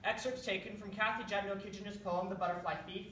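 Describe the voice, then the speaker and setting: A woman's voice: mid-range voice, fairly even intonation, fast talker, fairly bright tone. A young woman giving a dramatic scripted recitation as a readers theatre performer. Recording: echoey room, distant mic, faint noise.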